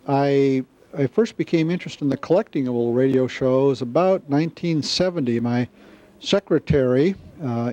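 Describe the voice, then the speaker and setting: An American man in his seventies from the Midwest, recalling things in an interview in a halting, measured way. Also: mid-range voice, mellow. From Wisconsin, US Midwest. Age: 70s. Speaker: club member, newsletter editor. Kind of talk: interview recollection. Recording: slightly dull, dry interview sound.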